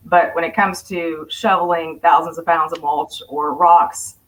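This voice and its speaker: A woman's voice: low voice, steady tone, unhurried, low-quality recording. Frank woman on a video call. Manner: frank, plain-spoken, with fillers. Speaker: landscaping business owner. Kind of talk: casual panel discussion.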